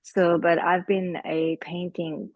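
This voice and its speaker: Gravelly Slovenian-accented woman, hesitant. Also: fairly low pitch, flat delivery, slow pace, no room sound, narrow, tinny audio. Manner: hesitant, searching for words, many fillers. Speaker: artist presenting her work to a group. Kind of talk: casual group meeting talk. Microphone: video call.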